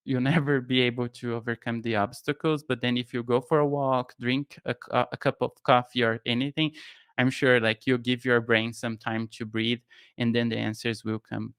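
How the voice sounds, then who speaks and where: A man's voice: mid-range voice, fairly bright tone, smooth, no echo, clean studio sound. A young Brazilian man with a Brazilian Portuguese accent, casually talking through a no-code tutorial on a live stream, thinking aloud with plenty of fillers and restarts. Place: home studio room with shelves. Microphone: studio mic on boom arm, headphones.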